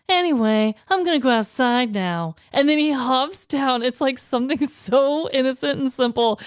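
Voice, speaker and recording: A woman's voice: a little high, smooth, no room sound, clean studio sound. An American woman co-hosting a podcast, chatting casually and telling anecdotes. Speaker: podcast co-host. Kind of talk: casual chat, animated anecdotes.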